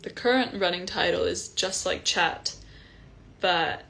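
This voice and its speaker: A young woman from Iceland, a singer-songwriter chatting casually on a livestream from home, relaxed with frequent ums. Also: on the low side, measured pace, vocal fry, rough recording. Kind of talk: casual livestream chat between songs. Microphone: phone livestream. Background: guitar playing.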